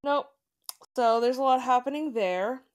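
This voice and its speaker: Woman, slightly higher pitch, casual podcast chat, chatty with asides. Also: measured pace, clean tone. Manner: chatty, frequent fillers and asides. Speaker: podcast co-host.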